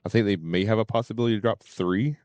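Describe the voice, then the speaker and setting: A man giving casual live game commentary, thinking aloud in a halting way and often repeating his words. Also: fairly low pitch, darkish tone, no room sound. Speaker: game livestreamer. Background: faint game music.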